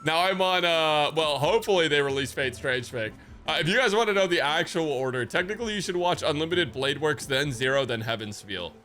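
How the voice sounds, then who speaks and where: A man with a bright, forward voice reacts to a game on stream. He rambles casually to his chat in slangy, sweary language, with music playing underneath. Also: on the high side, expressive, a little fast, hardly any pauses. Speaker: livestreamer.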